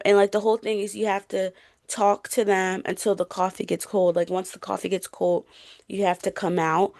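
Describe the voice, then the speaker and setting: A woman's voice: mid-range voice, creaky, darkish tone, no room sound, studio quality. A woman chatting casually and emphatically about books as a podcast co-host, in an informal way. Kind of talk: casual book chat.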